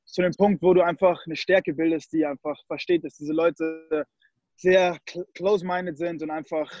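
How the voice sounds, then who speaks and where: A man's voice: on the high side, fairly even intonation, moderate pace, a little mellow, highs cut off. A young man from Germany, a guest in a casual interview, who slips English phrases into his German. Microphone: call recording.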